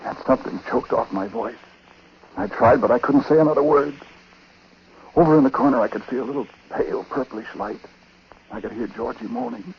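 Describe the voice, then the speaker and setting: A man playing a haunted, condemned husband telling his story haltingly and dramatically in a 1940s radio drama. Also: medium pitch, sing-song, gravelly. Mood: haunted, tense. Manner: hushed, halting, dramatic first-person telling. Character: haunted condemned husband recounting his wife's uncanny death. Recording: noisy, muffled 1940s radio broadcast.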